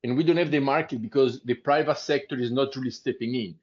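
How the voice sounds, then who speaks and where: A man's voice: medium pitch, fast, a little mellow. A man giving his views in an online group discussion, hesitant and restarting his sentences often. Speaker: panel participant. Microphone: video call. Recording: muffled video call audio.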